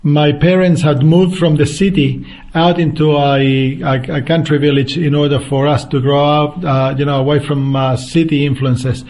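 A man's voice: a little high, steady tone, moderate pace, a little mellow. A man in his fifties with a Chilean Spanish accent, a guest evangelist answering interview questions earnestly and unhurriedly, with frequent restarts. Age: 50s.

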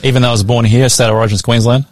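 A man's voice: mid-range voice, racing, no room sound. Easygoing Australian man on radio. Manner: relaxed, brief agreeable replies. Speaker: radio co-host. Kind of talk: radio talk show chat and prayer.

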